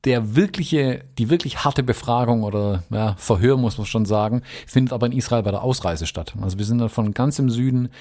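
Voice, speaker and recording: A man from the US tells a trip story in a loose, rambling way, filling pauses with hesitations. His voice sits at an ordinary middle pitch, relaxed and conversational. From United States. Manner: rambling, chatty, many fillers. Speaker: podcast guest. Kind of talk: casual travel storytelling.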